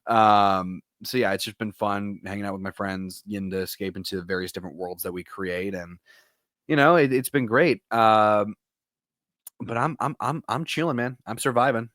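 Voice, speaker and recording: A young adult American man co-hosting a podcast in relaxed, casual conversation. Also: on the low side, moderate pace, no echo, clean studio sound. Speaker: podcast co-host. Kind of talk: casual chat.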